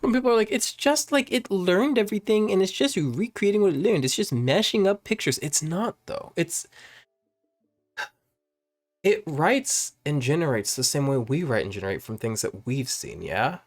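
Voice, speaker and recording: A man in his late twenties chatting casually in a vlog, rambling and jumping up in pitch when excited, filmed on a phone at home. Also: moderate pace. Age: 20s. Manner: rambling, animated, swings high when excited. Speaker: vlogger. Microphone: phone camera.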